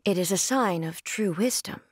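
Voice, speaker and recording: An actress playing a composed, stern female warrior god in a game, speaking in a calm, measured, reserved way. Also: on the low side, slightly rough, no echo, clean studio sound. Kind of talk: game character voice line.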